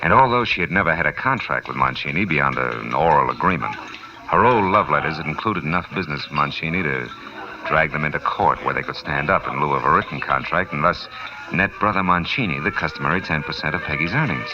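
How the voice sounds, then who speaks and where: An actor playing a tough, wisecracking private detective who narrates in 1950s radio noir style, sardonic and terse. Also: male voice, a bass voice, lively intonation, fast talker. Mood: wry storytelling. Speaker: narrator and lead character. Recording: dull old radio recording with music.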